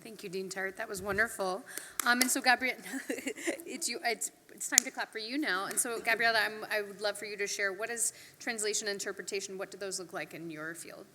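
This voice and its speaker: A woman's voice: medium pitch, a little fast, sharp tone, a little hiss or hum. A woman moderating a panel, friendly and light as she thanks speakers and introduces the next one. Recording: distant mic in a reverberant hall.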